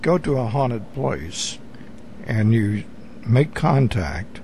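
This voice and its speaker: A man hosting an interview, asking his guest questions in a halting way with restarts. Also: medium pitch, sing-song, a little mellow, slight background noise. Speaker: interview host.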